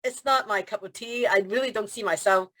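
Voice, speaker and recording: A woman from Hong Kong, a feng shui consultant chatting as a guest over a video call, telling stories with animated quoted voices and frequent laughter. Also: medium pitch, a little fast. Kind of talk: casual interview chat.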